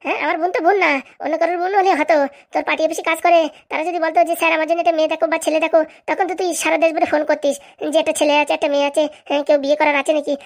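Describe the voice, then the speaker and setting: Casting: woman to play domineering cartoon wife, high creaky voice, relentless scolding. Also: little pitch movement. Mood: nagging, demanding. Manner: scolding, accusatory, rapid-fire haranguing. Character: bossy, nagging, domineering wife of a village politician. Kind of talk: comic cartoon voice acting.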